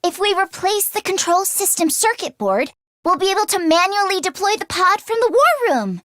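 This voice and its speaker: A woman voicing a nervous, expressive teenage girl fighter in an English video game, with casual youthful phrasing. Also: extremely high pitch, normal speed, dry recording, studio quality, exaggerated voice. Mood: determined.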